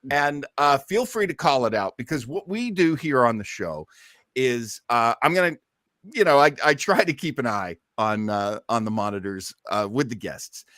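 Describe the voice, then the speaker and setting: A man's voice: mid-range voice, animated, moderate pace, a bit bright, no room sound, studio quality. A man hosting a casual live online show in an effusive, folksy way with plenty of exclamations. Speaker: host of an online listening show.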